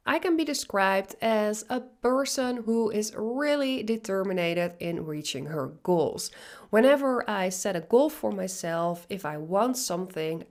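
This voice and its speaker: Dutch woman talking english on her own podcast, earnest, mid pitch, sure of herself. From Netherlands. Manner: earnest, determined self-introduction. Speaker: business coach, podcast host. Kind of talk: solo podcast monologue.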